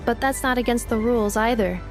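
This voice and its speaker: A woman's voice: fairly high pitch, fast talker, over music, lightly acted. A woman playing a composed, formal, analytical young woman in a video game, precise and unruffled. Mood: unruffled defense. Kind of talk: video game cutscene acting.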